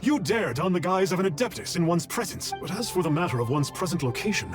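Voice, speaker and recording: A high-voiced, pompous trickster man from a video game, dressing every line in grandiose old-fashioned phrasing. He is theatrical to the core, and music plays prominently behind him. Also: lively intonation, quick pace. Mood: pompous, haughty. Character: pompous fraud male folklore fan posing as an immortal.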